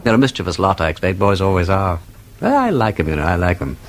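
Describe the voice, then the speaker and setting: An actor playing a witty, inquisitive gentleman sleuth in a radio drama, with an upper-class English accent and an urbane, argumentative, theatrical manner. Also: sits low, very animated, fast. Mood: feigned casual curiosity. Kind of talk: British radio drama acting.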